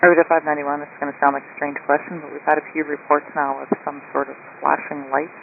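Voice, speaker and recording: A man's voice: on the high side, little pitch movement, fast talker, vocal fry, narrow, tinny audio. A man working as an air traffic controller, questioning pilots over a radio link. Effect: radio transmission.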